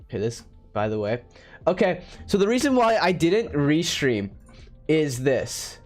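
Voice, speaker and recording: A man's voice: a little high, very animated, measured pace, soft background music. A young man streaming from his room, giving tired, sarcastic running commentary with some swearing. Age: young adult. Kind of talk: casual live-stream chat.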